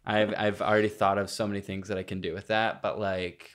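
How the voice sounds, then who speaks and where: A man's voice: fairly low pitch, flat delivery, a little fast, fairly bright tone. An American man in his twenties in casual, joking podcast chat, rambling and repeating phrases for comic effect. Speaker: podcast co-host. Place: small room indoors. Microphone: podcast microphone on boom arm.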